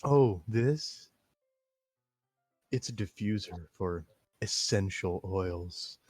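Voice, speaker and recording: A man voicing a shy, refined teenage girl in a comedic staged reading of a visual novel, speaking slowly and in a hush. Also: deep voice, animated, no echo, soft background music, exaggerated voice.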